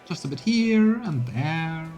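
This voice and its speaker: Man voicing a high-pitched, crafty one-eyed quartermaster. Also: expressive, slow, darkish tone, faint music behind. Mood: gleeful scheming.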